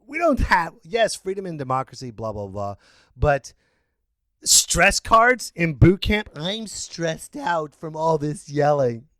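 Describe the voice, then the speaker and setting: A man hosting a podcast, ranting loudly and bursting into sudden yells. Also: on the high side, very animated, takes it slowly, no echo. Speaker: podcast host. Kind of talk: opinion rant.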